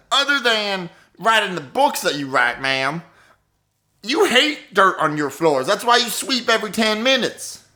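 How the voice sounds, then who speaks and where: A polite but skeptical male investigator acted by a man in an improvised radio comedy. He reasons his way haltingly through an accusation, courteous yet doubtful. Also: high voice, sing-song, bright, forceful tone, exaggerated voice. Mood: accusing, polite. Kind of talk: improvised comic radio murder mystery.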